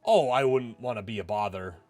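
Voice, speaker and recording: A man's voice: medium pitch, brisk, a little mellow, soft background music, natural voice. A man playing a reserved, polite young man from a visual novel, with a courteous, understated manner.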